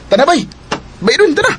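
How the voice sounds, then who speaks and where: An actor with a Cebuano accent playing a blunt, chummy, nagging drinking buddy in a radio drama. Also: male voice, very high-pitched, big pitch swings, unhurried, pushed and bright, slight background noise, full character voice. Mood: chummy, urging. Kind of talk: Filipino radio drama acting.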